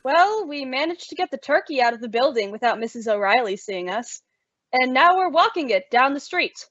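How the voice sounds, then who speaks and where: A woman acts the level-headed roommate and narrator of a vintage radio sitcom. She is high-voiced and animated, wry and exasperated. The recording is dull. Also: moderate pace. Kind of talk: old-time radio comedy acting with narration. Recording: dull, lo-fi, dry audio.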